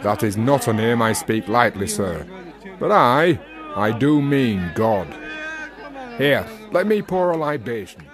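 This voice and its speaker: A man playing a wry, deferential working-class village sexton in a radio drama, folksy and gently teasing. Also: medium pitch, very animated, measured pace, a crowd in the background. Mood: wry, reverent.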